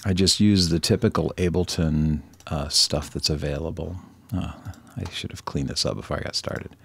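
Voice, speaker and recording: A man presenting a casual screen-recorded software tutorial, thinking aloud in an informal, self-deprecating way. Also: very deep voice, normal speed, creaky, a little hiss or hum.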